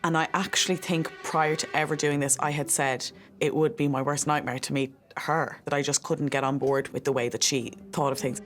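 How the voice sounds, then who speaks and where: A woman's voice: sits low, steady tone, normal speed, few pauses, faint music behind. Earnest Irish woman in her twenties. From Ireland. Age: 20s. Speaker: strongwoman competitor and reality show guest. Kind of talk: earnest confessional interview.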